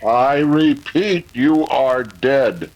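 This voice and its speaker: An actor playing a commanding, steady masked western hero with a formal manner, in 1930s radio drama style. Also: male voice, medium pitch, lo-fi sound. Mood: calm, commanding. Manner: formal, deliberate.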